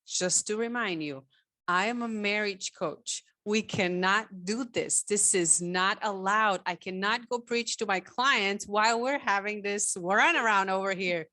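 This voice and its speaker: A woman's voice: mid-range voice, a little slow, pushed and bright, no room sound. A woman from Slovenia, chatting casually and animatedly as an interview guest. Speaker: relationship coach, podcast guest.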